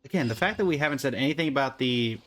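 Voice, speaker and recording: Male streamer, rapid casual game commentary, wry and speculative, music underneath. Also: mid-range voice, expressive, fairly bright tone. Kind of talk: casual gameplay reaction commentary.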